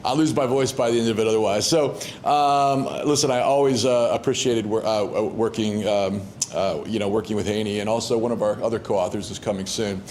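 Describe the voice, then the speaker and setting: A man's voice: on the low side, fairly even intonation, brisk, few pauses, darkish tone, a little hiss or hum. A middle-aged man giving a keynote to students in a conversational way, loose and chatty, with frequent fillers and restarts. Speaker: keynote speaker. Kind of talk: casual, conversational talk to students. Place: university event hall.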